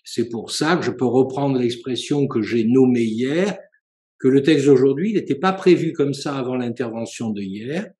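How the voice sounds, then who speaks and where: A man, a professor and psychoanalyst, giving a seminar lecture in a measured, explanatory way, with frequent hesitations and self-corrections. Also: medium pitch, a little mellow, roomy sound. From France.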